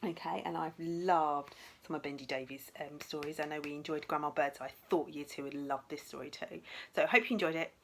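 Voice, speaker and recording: A woman teacher reading a storybook aloud to young children in an expressive, dramatic way, with asides to the listeners. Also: deep voice, fairly quick, a little hiss or hum.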